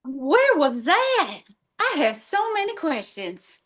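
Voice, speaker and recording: An earnest, unflappable woman, played by an actress in a comic stage reading. Her voice is fairly high and very lively in pitch, yet she is matter-of-fact in manner. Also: slow, heavily acted. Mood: baffled. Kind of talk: script table read.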